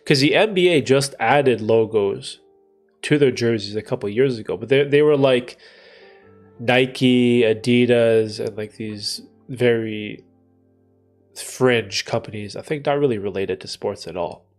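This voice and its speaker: Stuffy-nosed man, gravelly casual chat. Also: mid-range voice, unhurried, faint music behind. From Canada. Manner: rambling, thinking aloud with many fillers and restarts. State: stuffy nose from a cold. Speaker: livestream host answering chat.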